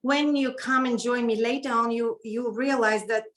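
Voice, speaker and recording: Middle aged bulgarian lady, kinda high voice, introducing herself informally on a video call, bit hesitant. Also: steady tone, normal speed, a bit bright. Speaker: guest keynote speaker and etiquette consultant. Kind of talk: informal self-introduction speech.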